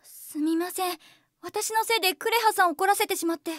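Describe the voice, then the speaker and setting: Woman as a very high-voiced, meek young rookie. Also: brisk, no room sound, studio quality. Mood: apologetic. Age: young adult. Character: sweet, apologetic young female rookie voice actress. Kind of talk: anime-style voice drama acting.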